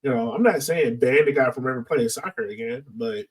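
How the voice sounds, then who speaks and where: A man's voice: mid-range voice, a little fast, far from the mic, poor audio. A man hosting a podcast in a casual, informal discussion style. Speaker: podcast host.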